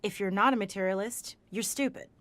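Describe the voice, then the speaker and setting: A woman plays a thoughtful researcher with a medium-pitched voice. She is articulate and opinionated, with an exasperated edge, talking naturally as in an audio drama discussion. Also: fairly quick, a bit bright, close to an everyday voice.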